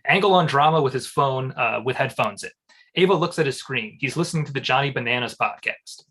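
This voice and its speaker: A man's voice: mid-range voice, brisk, fairly bright tone. A man reading stage directions as scripted narration at a comedy table read.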